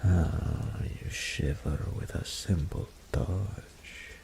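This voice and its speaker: A seductive male incubus demon, played by a man purrs slowly in a low, deeply gravelly voice, slipping into breathy whispers. The tone is dark and mellow, teasing and condescending, and faint rain sits behind him. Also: a little hiss or hum, exaggerated voice. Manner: slow, purring, seductive, condescending. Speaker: voice actor. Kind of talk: audio roleplay drama.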